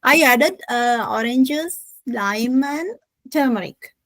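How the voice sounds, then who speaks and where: A woman's voice: sits high, expressive, slow, a bit bright, no room sound. A woman with a Sri Lankan accent, a teacher chatting as co-host of an informal English lesson.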